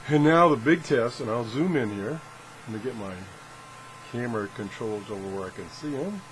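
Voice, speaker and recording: A man with a mid-pitched voice gives a casual, hands-on gadget demonstration in his workshop. He rambles in a folksy, self-deprecating way. Steady fan and room noise sits clearly behind him. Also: normal speed. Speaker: video host reviewing gadgets. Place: home workshop, indoors.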